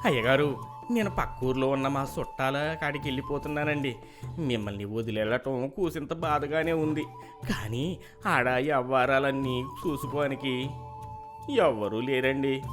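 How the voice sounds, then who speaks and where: A man's voice: mid-range voice, normal speed, music in the background, natural voice. A man playing a humble village farmer in a moral story, speaking deferentially in rustic dialect. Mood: humble pleading. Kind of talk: moral story character dialogue.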